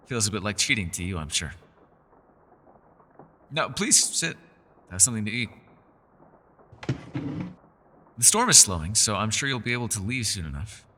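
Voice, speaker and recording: A man voicing a centuries-old elf in a fantasy roleplay, gentle, socially awkward and chatty, with formal, old-fashioned, apologetic phrasing. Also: lowish voice, sing-song, moderate pace, pauses often, slight background noise, exaggerated voice. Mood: amused, chatty. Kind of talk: fantasy ASMR roleplay voice acting.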